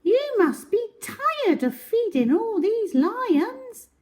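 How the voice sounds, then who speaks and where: A woman's voice: extremely high pitch, sing-song, takes it slowly, darkish tone, voice pushed up, full character voice. A woman voicing a hasty, eager, bossy little girl character from a children's storybook, with a gushing, pushy manner.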